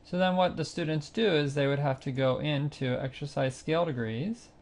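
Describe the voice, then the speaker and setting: A man narrating a screencast tutorial, explaining a music training website step by step in a plain, unhurried way with occasional fillers. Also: fairly high pitch, a little mellow. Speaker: site instructor demonstrating software.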